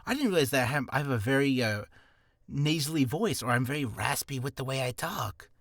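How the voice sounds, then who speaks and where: Lively, nervous, rambling man. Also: mid-range voice, brisk, no echo, clean studio sound. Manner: nervous, rambling, self-deprecating. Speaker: voice actor giving beginner advice. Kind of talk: casual rambling advice vlog. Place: small hot confined room.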